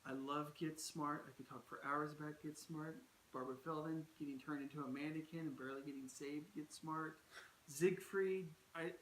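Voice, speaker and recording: A man's voice: a little high, fairly bright tone. A man co-hosting a casual movie review chat, talking in a rambling and teasing way. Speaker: co-host. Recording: echoey room with faint hiss.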